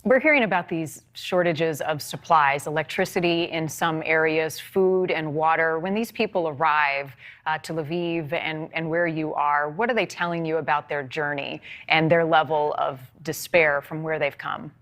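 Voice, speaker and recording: A woman news anchor with an American accent, reading an intro and interviewing a guest in a polished, measured broadcast manner. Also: sits low, fairly bright tone. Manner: polished, measured newsreader delivery.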